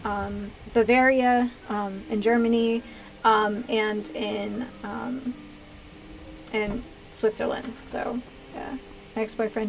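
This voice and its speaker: Higher-voiced American woman chatting on stream. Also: gravelly, a little hiss or hum, low-quality recording. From US East Coast, Pennsylvania. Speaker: video game streamer and host. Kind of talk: casual livestream chat with viewers.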